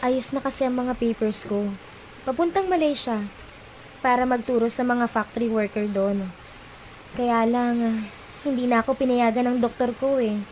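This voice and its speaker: A woman's voice: on the high side, moderate pace, pauses often, a little mellow, a little hiss or hum, close to an everyday voice, poor audio. A woman playing a polite, coaxing pregnant supervisor in a radio drama.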